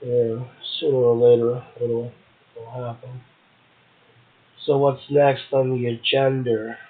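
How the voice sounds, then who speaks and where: A man's voice: mid-range voice, flat delivery, slow. A man hosting a poetry show, reading verse and commenting casually between poems. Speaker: poetry show host and poet. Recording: muffled, narrow phone-like sound.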